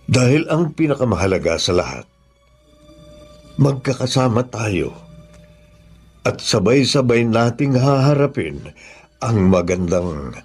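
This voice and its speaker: A man's voice: mid-range voice, sing-song, unhurried, long pauses, a little mellow, breathy edge, soft background music, exaggerated voice. An actor with a Filipino accent playing an earnest, solemn middle-aged father devoted to his children in a radio drama. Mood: tender, solemn.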